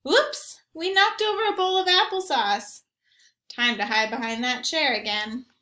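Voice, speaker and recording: A woman voicing an eager, naive, mischievous young dog telling his own picture-book story in an expressive, earnest way. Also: high voice, measured pace, echoey room. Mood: anxious. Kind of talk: first-person picture book narration.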